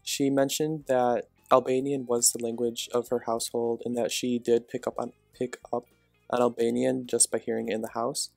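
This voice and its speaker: Flat, gravelly young male student narrator. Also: mid-range voice, normal speed, no echo, soft background music. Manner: even, read-aloud delivery. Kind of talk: reading a class project narration.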